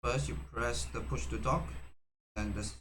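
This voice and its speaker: Halting man in a noisy recording. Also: mid-range voice, fairly even intonation. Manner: halting, hesitant delivery with many fillers and self-corrections. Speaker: tutorial presenter. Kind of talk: casual unscripted how-to demonstration.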